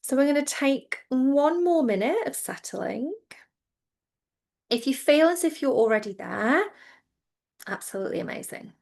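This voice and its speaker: Woman, yoga nidra instructor, gentle informal guidance, slightly high voice, heavy vocal fry. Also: lively intonation, a little slow, pauses often. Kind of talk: guided relaxation instruction.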